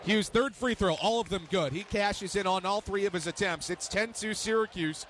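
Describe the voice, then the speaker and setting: A young man with a high voice calls basketball play-by-play for radio. Clear arena noise and game ambience sit behind him. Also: normal speed, fairly bright tone. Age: young adult. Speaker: play-by-play announcer. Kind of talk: live radio basketball commentary.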